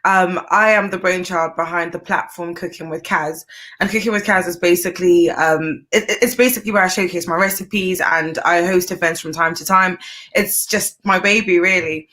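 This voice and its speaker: A young woman from Zimbabwe who grew up in Britain and speaks. She is a chef chatting as an interview guest. Her voice is bright and clear, and her talk is relaxed and chatty, with fillers and easy laughter. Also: on the low side, normal speed. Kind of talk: casual interview chat.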